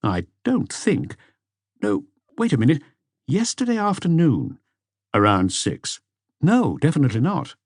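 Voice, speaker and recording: Evasive audiobook suspect man performing: raised pitch, lively inflection, hesitant, defensive, nervous. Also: a little slow, no room sound, clean studio sound, exaggerated voice. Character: uneasy, evasive boyfriend, a publicist under questioning.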